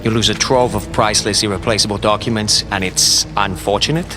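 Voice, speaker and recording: A man's voice: on the low side, fairly even intonation, brisk, music in the background, natural voice. An actor playing a cocky, sarcastic mercenary swordsman in a video game, crude and smug in a glib delivery. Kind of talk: video game cutscene voice acting.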